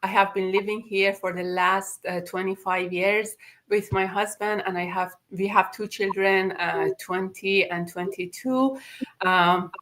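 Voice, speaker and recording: A woman in her forties from Iran, introducing herself on a live online panel in a polite, measured way. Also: medium pitch, fairly even intonation, fairly bright tone.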